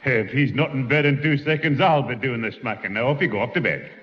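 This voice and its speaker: An actor playing a grumpy, strict old grandfather with a Northern English accent, giving gruff orders in a 1960s radio comedy. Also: mid-range voice, a little fast, lightly acted. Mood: grumpy, stern. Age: older. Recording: muffled, noisy 1960s radio recording, hall reverb.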